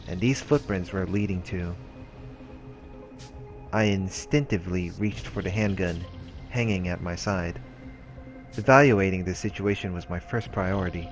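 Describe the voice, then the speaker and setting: Male let's play host, low voice, reading story narration with casual asides over clear game music. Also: a little slow, pauses often.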